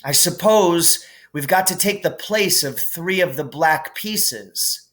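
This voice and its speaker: A man voicing a frank, thoughtful boy strategist who explains and gives instructions deliberately in a storybook reading. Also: a little high, animated, a bit bright, voice pushed up.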